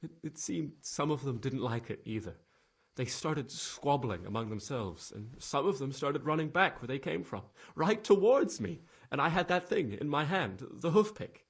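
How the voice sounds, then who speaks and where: A man playing a frightened young stable lad in a game cutscene, stammering and halting, with a husky voice. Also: fairly high pitch, lively intonation, full character voice. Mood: shaken, haunted. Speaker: video game character, witness being questioned. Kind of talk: dramatic game cutscene acting.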